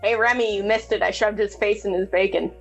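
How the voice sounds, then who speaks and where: A woman chats and banters as a player in a tabletop game. She is teasing and giggly, with a mid-pitched voice and faint music playing behind her. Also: steady tone, fairly quick. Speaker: player in a tabletop roleplaying podcast. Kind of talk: casual in-character banter.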